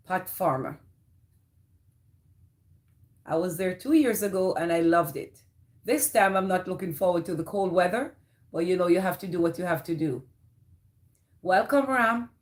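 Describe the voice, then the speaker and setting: A woman's voice: on the low side, moderate pace, long pauses. A woman with a Jamaican accent hosting a casual livestream, greeting viewers by name and answering their comments. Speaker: livestream host. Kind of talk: casual live chat reading viewer comments.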